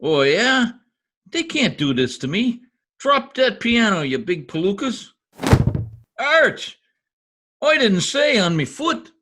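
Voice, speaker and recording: A man's voice: high voice, very animated, slow, long pauses, pushed and bright, full character voice. A man playing a blustering, stubborn small-town husband in folksy, slangy old-time radio comedy style. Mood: outraged.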